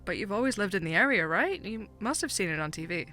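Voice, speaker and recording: A woman's voice: mid-range voice, lively intonation, fast, bright, forceful tone, faint music behind. An actress playing a keen, teasing young woman who works as an amateur sleuth in an audio drama. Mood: incredulous.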